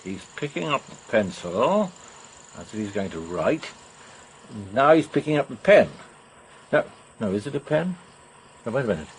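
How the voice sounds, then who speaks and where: An actor playing a brisk, commanding police major in a radio drama, somewhat blustering. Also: male voice, mid-range voice, sing-song, a little hiss or hum. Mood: puzzled.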